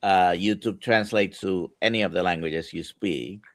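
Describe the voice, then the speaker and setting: A man's voice: low-pitched, normal speed, dry recording, clean studio sound. A man hosting a livestream casually, steering the conversation with short questions and remarks. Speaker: livestream moderator.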